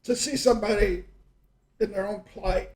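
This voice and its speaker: A man's voice: sits high, steady tone, normal speed, a little mellow, slight background noise. A middle-aged man in casual conversation, reminiscing and telling stories in a rambling way, often trailing off mid-thought. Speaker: podcast host and storyteller.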